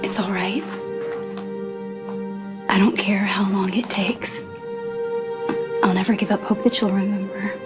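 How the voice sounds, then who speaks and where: Actress as tender hopeful fiancée, soft breathy intimate voice, mid pitch, soap-style romance, heavy music behind. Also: female voice, little pitch movement, unhurried, pauses often, close to an everyday voice. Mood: reassuring. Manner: breathy, hushed, intimate delivery. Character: devoted, pleading young fiancée, tender and hopeful. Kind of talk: soap opera style romantic drama acting. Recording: loud music over the dialogue.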